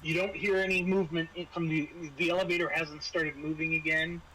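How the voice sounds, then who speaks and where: A man running a tabletop roleplaying game, narrating casually and haltingly, often repeating words. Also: a little high, level tone, sharp tone, a little hiss or hum, rough recording. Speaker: game master.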